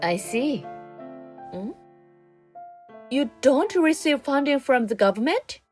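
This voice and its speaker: A woman's voice: high voice, very animated, takes it slowly, a little mellow, slightly rough, faint music behind, full character voice. An actress playing an earnest, naive young woman investigator in a radio drama, with a hesitant way of talking. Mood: concerned, curious.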